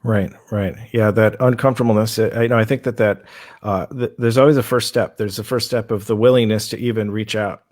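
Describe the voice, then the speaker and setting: A man hosting a casual interview, speaking haltingly, repeating words and circling his way toward each question. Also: on the low side, fairly even intonation, darkish tone. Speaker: interview host.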